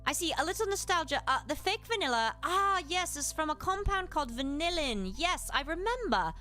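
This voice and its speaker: A woman with a very high, lively voice and a British accent hosts a livestreamed lesson. Her delivery swings widely in pitch as she explains things politely. Faint music plays behind her. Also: a little fast. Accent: British, put on. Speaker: live streamer and host giving a lesson. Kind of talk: livestreamed educational lesson with chat replies.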